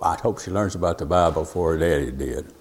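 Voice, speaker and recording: Deep-voiced, folksy older preacher. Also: male voice, darkish tone, slightly rough. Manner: rambling, folksy, halting, full of asides and self-told anecdotes. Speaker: preacher teaching Bible prophecy. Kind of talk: informal sermon to a congregation.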